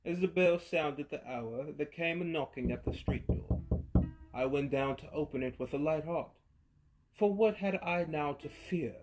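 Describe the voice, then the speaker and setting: A man giving a theatrical reading of a story, swinging from hushed to loud. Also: a little high, measured pace, low-quality recording. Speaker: narrator. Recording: faint music behind.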